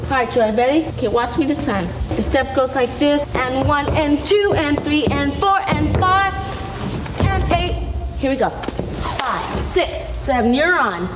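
A woman's voice: high voice, expressive, a little slow. Upbeat woman counting dance steps, music. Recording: roomy archive clip with loud music.

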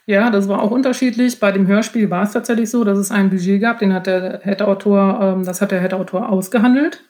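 A woman's voice: mid-range voice, fairly even intonation, a little fast, a little mellow. Woman explaining things in an informal interview. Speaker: podcast guest, author.

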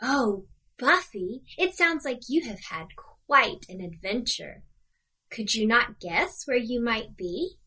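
A man voicing a gentle young female bunny in a soft, kindly manner for a children's story. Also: very high voice, expressive, takes it slowly, slightly rough, pitched above the performer's own voice, exaggerated voice.

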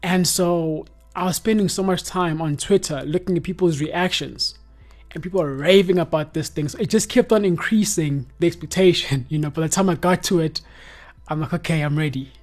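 A man's voice: fairly high pitch, normal speed. A man in his twenties with a South African accent, hosting a casual music review chat in laid-back, slangy speech. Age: 20s. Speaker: podcast host.

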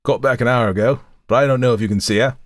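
A man's voice: medium pitch, fairly even intonation, fast talker, no echo, clean studio sound, pitched above the performer's own voice, lightly acted. Man voicing a brusque, doubtful charwoman. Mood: wary. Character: big, slatternly, doubtful charwoman. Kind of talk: audiobook character voice.

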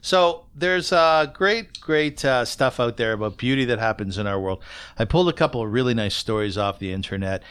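A man's voice: mid-range voice, animated, moderate pace, a bit bright, smooth. A man hosting a conversational podcast interview, speaking earnestly and often checking for agreement.

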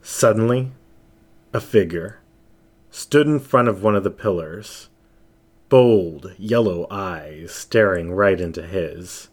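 Male audiobook-style narrator needed: deep, unhurried voice for scripted story narration.

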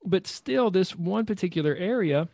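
A man's voice: high voice, animated, moderate pace, gravelly, a little mellow, dry recording, studio quality. A man hosting an interview, enthusiastic and encouraging, rambling a bit with restarts. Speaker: host. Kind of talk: interview, coaching podcast.

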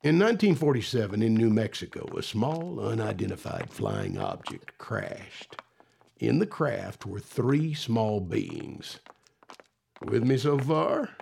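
A self-assured, secretive military base commander in an audio drama. His voice is very gravelly and moves in lively, dramatic swings as he explains things over music. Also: lowish voice, takes it slowly, a little hiss or hum, exaggerated voice. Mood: measured, confident. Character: confident secretive male base commander guiding an inspection.

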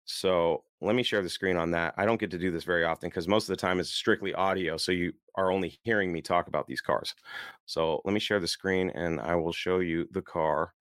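Deep-voiced man, a bit hoarse, chatting casually. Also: steady tone, brisk, a bit bright, no room sound, clean studio sound. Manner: easygoing, chuckles often, rambling enthusiast. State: hoarse, worn-out voice. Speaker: host of a car enthusiast show. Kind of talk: casual solo chat to listeners.

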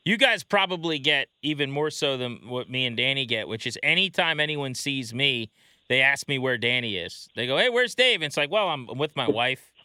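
Bright, animated male sports host. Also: mid-range voice, moderate pace, clean tone, no echo. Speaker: interview host. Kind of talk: casual sports talk.

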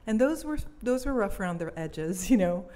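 A woman's voice: mid-range voice, expressive, moderate pace, mellow. A young woman from Belgium, a cellist, chatting casually in an interview and laughing easily. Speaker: cellist and musician guest.

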